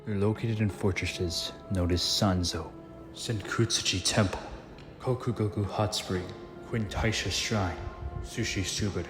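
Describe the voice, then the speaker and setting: A man voicing a deep, wry, cautious ally. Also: steady tone, music in the background, lightly acted. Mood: explaining. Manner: measured, reasoning, slightly wry. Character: laid-back, cautious adult man, a sword-world ally. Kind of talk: anime fan dub acting.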